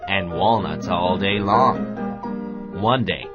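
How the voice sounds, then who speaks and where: Low, lively male storyteller over loud music. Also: extremely slow. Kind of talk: scripted children's bedtime story narration. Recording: dull sound under loud music.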